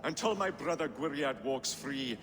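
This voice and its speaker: Man playing a slow, scornful, proud king. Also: mid-range voice, expressive, a crowd in the background, lightly acted. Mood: cold contempt. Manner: slow, contemptuous, declamatory. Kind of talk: dramatic video game acting. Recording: long hall reverb, loud music.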